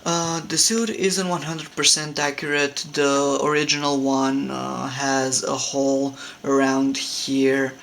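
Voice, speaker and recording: A young man with a Romanian accent, a non-native English speaker, talking casually at home about his sculpting process with many pauses and fillers. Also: mid-range voice, little pitch movement, vocal fry, a bit bright, slight background noise. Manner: hesitant, many fillers and restarts. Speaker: hobby sculptor. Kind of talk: casual tutorial talk. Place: home room.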